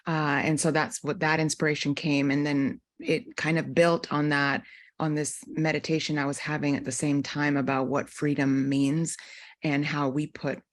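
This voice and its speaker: A woman filmmaker from North Carolina answering panel questions in a reflective way, with frequent ums. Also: low-pitched. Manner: reflective, many ums and likes.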